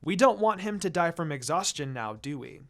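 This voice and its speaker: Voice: medium pitch, animated, moderate pace, lightly acted. A narrator voicing a determined, hot-tempered young ninja girl in a story reading, insistent and scolding. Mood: confident.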